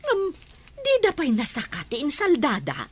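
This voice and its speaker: A woman's voice: high voice, huge pitch swings, normal speed. A woman playing a talkative village storekeeper gossiping in an Ilocano radio comedy drama, with a Filipino accent.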